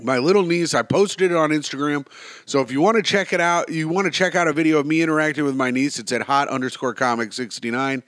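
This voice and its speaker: A young man with a somewhat high voice talks his way through a solo podcast episode. He is rambling and self-mocking, with a stuffed-up, sniffly nose. The sound is dry and close, with no room around it. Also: fairly even intonation, fast, a bit bright, no echo. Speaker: podcast host.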